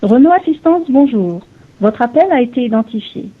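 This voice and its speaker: A woman's voice: a little high, animated, moderate pace. A woman reading a scripted automated greeting for a customer assistance phone line. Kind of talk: scripted customer service announcement. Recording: very noisy, tinny phone line.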